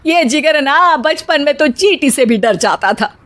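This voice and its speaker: Brief: high-voiced scolding mother-in-law, a woman acting it, bossy and quarrelsome, cartoon. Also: expressive, a little slow, fairly bright tone. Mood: scornful. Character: bossy middle-aged mother-in-law, scared of bugs.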